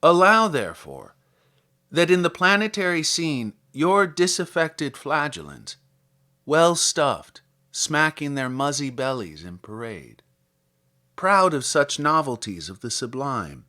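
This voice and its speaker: A man reading scripted literary material aloud as a podcast host, in a measured, articulate, theatrical manner. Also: on the high side, sing-song, pushed and bright. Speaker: podcast host and poetry reader. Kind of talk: scripted literary introduction and poetry recitation.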